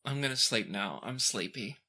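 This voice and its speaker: A woman voicing a drowsy, opium-high young man who pleads like a clingy, lovesick child in a tabletop game. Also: animated, moderate pace, bright, forceful tone, full character voice.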